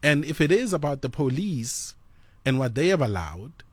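A South African man hosting a radio talk show, pausing and repeating words, then building to pointed rhetorical questions. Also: medium pitch, big pitch swings, a little mellow, husky, dry recording.

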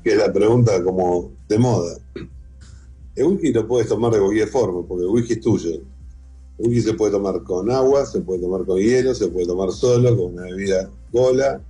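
Dark, husky-voiced Argentine man. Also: lowish voice, fairly quick, faint music behind, low-quality recording. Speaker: interview guest, whisky museum founder and collector. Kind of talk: conversational interview answers.

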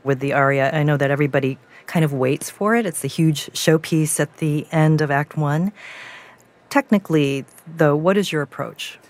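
A woman hosting a radio broadcast, poised and measured as she interviews and presents. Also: sits low.

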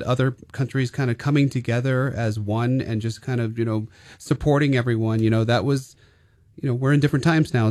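A man's voice: mid-range voice, no room sound, studio quality. A male stage actor being interviewed, hesitant, with many restarts. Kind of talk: casual interview.

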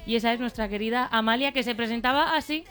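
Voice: mid-range voice, fast talker. A co-host in casual chat, enthusiastic and joking as they introduce the topic. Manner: enthusiastic, jokey. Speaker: show co-host. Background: music.

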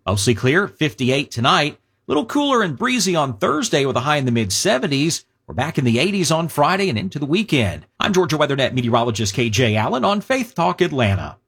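A man's voice: on the high side, very animated, fairly quick, hardly any pauses, fairly bright tone, slightly rough. A man reading a scripted weather forecast on the radio as a meteorologist. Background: music bed.

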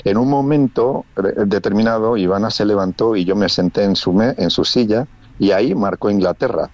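Deep-voiced man on radio. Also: normal speed, darkish tone, dry recording. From Spain. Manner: conversational, many restarts and fillers. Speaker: radio correspondent. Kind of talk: casual radio report. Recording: muffled lo-fi radio audio.

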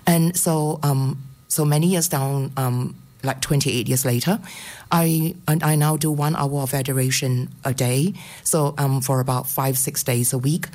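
A woman's voice: rumbling low, steady tone, unhurried, darkish tone. A middle-aged woman from Singapore giving a personal faith testimony on radio, hesitant, with many ums and restarts.

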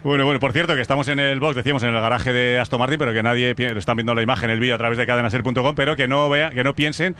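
A man hosting a live sports radio chat from a racetrack garage, brisk and lively. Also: mid-range voice, slight background noise. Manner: brisk, lively, laughs along.

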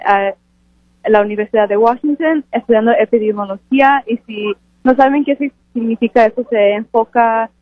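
A woman of twenty-two giving an earnest, halting, unscripted interview answer. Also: a little high, dry recording. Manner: earnest, halting with self-corrections. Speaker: interviewed graduate student and scholarship recipient. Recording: phone-like radio broadcast sound.

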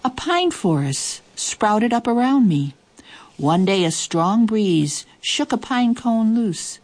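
A woman voicing an ancient, contented rock who reminisces in an unhurried storytelling way. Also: fairly high pitch, sing-song, darkish tone, voice pushed up, exaggerated voice. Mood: reminiscing.

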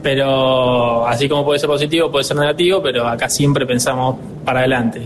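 A man's voice: medium pitch, fairly even intonation, brisk, noisy background. Young Argentine man, upbeat and earnest. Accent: Argentine Spanish. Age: 20s. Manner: earnest, upbeat, with many filler hesitations. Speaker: dealership director. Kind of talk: radio interview answers.

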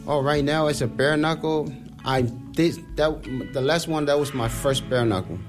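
A man's voice: a little high, normal speed, soft background music. A young man from Samoa, a guest musician, talking casually in a loose, repetitive way. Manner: laid-back, loose, repetitive phrasing. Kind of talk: casual interview.

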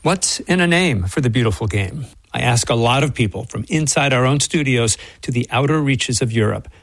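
A man narrates like a radio feature reporter, with a noticeably gravelly edge. The sound is dry and close. His delivery is wry and playful, fond of wordplay within a scripted read. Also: mid-range voice, animated, normal speed, no echo. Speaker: radio correspondent.

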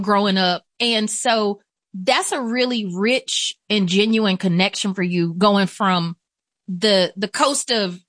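A woman's voice: medium pitch, fairly bright tone, dry recording, studio quality. A woman with an American accent hosting a conversational radio interview, effusive and chatty with informal asides. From Atlanta, Georgia, US. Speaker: interview show host.

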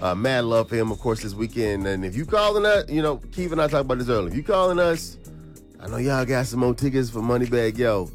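A male radio host, a bit congested, keeps the banter rolling with quick repeats and hype. His pitch sits in the middle of the range. A soft music bed plays faintly underneath. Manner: hype, repetitive call-and-response.

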